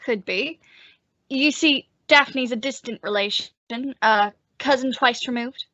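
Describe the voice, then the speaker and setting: A woman's voice: on the high side, slow, pushed and bright, dry recording, heavily acted. A woman playing a desperate, lovesick husband with urgent, earnest pleading, in old-time radio drama style. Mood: worried. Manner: urgent, imploring delivery. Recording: dull lo-fi close dry recording.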